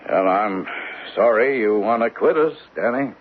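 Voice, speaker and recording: Animated, fatherly detective agency boss. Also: lowish voice, measured pace, breathy edge. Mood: grave. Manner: authoritative, folksy lecturing. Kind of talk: radio drama acting. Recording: dull radio broadcast recording with music.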